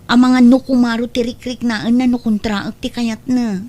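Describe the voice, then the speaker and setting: A woman's voice: fairly high pitch, fairly even intonation, moderate pace, warm tone, natural voice. A woman with an Ilocano accent acting a dutiful, apologetic young woman in an audio drama. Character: apologetic, dutiful young woman turning away her suitor.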